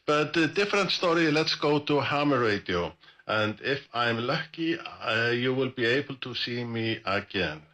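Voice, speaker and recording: An Icelandic-accented man in middle age talks casually on a live ham radio stream, pausing often with fillers and restarting his sentences. His voice has a clear, bright edge and sits at an ordinary middle pitch for a man. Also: expressive. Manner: rambling, hesitant with many fillers and self-corrections. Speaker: ham radio net presenter.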